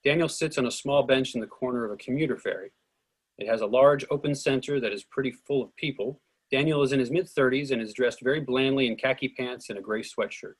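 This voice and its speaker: Husky man reading stage directions. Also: medium pitch, brisk, poor audio. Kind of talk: scripted narration of stage directions.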